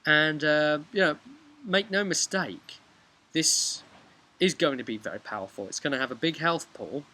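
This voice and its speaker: A man's voice: a little high, moderate pace, bright, forceful tone. A man in his thirties recounting a tabletop game as game master, mixing dramatic narration with casual asides, recorded outdoors. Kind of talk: casual vlog storytelling. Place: outdoors by a brick house wall. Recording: clear outdoor background noise.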